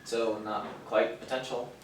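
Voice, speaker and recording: A man's voice: medium pitch, level tone, a little slow, far from the mic, lightly acted. Man acting a flustered, timid writer in an echoey theater. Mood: self-deprecating, doubtful. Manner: hesitant, stammering, apologetic. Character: timid, self-deprecating aspiring male scriptwriter, easily flustered. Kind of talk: stage play acting. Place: theater stage, distant mic. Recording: echoey stage recorded from afar, noisy.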